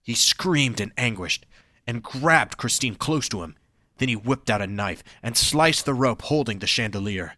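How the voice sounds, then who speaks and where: A man's voice: mid-range voice, expressive, unhurried, bright, forceful tone, no room sound. A man reading scripted story narration as the narrator of an audio drama.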